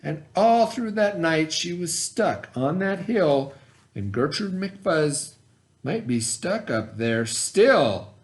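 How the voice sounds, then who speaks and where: A man reading a rhyming children's story aloud in a theatrical, expressive way, with dramatic pauses. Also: on the high side. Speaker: storybook reader.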